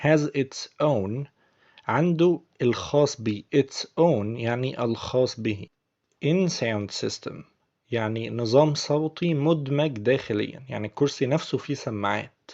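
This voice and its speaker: A man's voice: medium pitch, measured pace, darkish tone, lo-fi sound. A man with an Egyptian Arabic accent, patiently explaining English phrases word by word as a teacher.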